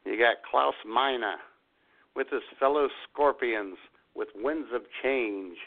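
A man's voice: medium pitch, slow pace, creaky. A man co-hosting a radio show with casual, wry, joking banter. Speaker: radio co-host. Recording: narrow, tinny phone-like sound.

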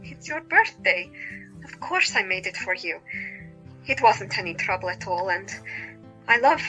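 A woman's voice: medium pitch, expressive, a little slow, pushed and bright, faint music behind, poor audio. An actress playing a joyous, caring woman doctor in a period audio drama, cheerful and coaxing. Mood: friendly, reassuring.

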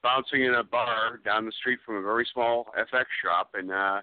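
A man's voice: mid-range voice, fairly even intonation, moderate pace, dry recording. A man chatting casually as an interview guest, with a wry, joking manner. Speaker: guest, special effects worker. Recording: phone-like narrow-band audio.

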